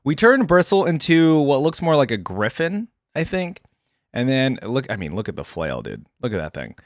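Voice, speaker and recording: Man reacting casually, lively swooping pitch. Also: normal speed, no echo, studio quality. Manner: rambling, thinking aloud, informal slang. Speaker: reaction video host. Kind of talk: casual off-the-cuff reaction commentary.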